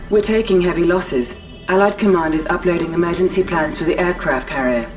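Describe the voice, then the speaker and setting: An actress playing a composed military announcer, delivering formal, clipped mission updates in a video game. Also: female voice, lowish voice, brisk, lightly acted. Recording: slightly distant, music behind.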